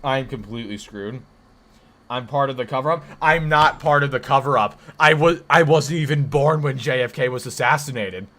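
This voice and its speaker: A young man of twenty with a deep voice that still cuts through with a bright, forceful edge. He hosts a live stream and talks casually, bantering with his chat and defending himself in a joking, rambling way. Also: moderate pace. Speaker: livestream host.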